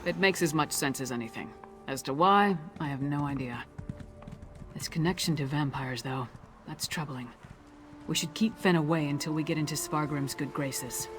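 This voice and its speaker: A woman's voice: deep voice, moderate pace, lightly acted. Woman acting fantasy game warrior woman, blunt, plain-spoken, hot-tempered, dutiful, music underneath. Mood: matter-of-fact. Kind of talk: scripted video game dialogue.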